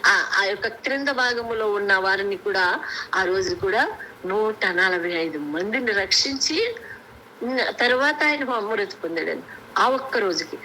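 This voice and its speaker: A woman from India preaching an extemporaneous Bible sermon, earnest and halting, often restarting her words, over a conference call. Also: medium pitch, animated, bright, forceful tone. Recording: noisy conference call audio.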